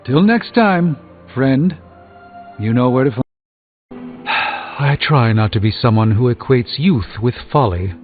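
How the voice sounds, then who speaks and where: A man's voice: mid-range voice, expressive, takes it slowly, pauses often. A man playing a proud, wry sorcerer and mentor in a video game, speaking in a formal, articulate, dryly pompous way. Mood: troubled. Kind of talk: scripted video game dialogue. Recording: phone-like narrow band, music behind.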